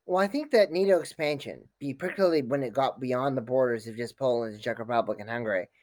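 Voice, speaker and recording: A man's voice: medium pitch, quick pace, darkish tone, no room sound. Articulate male academic, dry recording. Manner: articulate, emphatic, long densely detailed sentences. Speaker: academic guest, foreign policy expert. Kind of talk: analytical interview answers.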